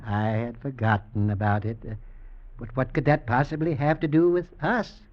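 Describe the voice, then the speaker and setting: A man's voice: medium pitch, steady tone, moderate pace, close to an everyday voice. Man playing a sly, menacing old executioner, vintage radio. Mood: sly false sweetness. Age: older. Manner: pointed, insinuating, mock-affectionate questioning. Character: menacing old executioner, possessive, slyly mocking husband. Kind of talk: 1940s-50s American radio drama acting. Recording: dull vintage radio recording.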